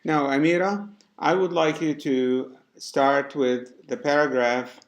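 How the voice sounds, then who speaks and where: A man teaching a class, giving deliberate, encouraging instructions to students in an indoor room. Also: fairly high pitch, fairly even intonation. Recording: echoey room.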